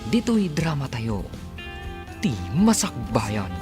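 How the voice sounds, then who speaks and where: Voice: mid-range voice, very animated, slow. An announcer with an Ilocano accent narrating a scripted radio drama serial, with music behind the voice. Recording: music under the voice.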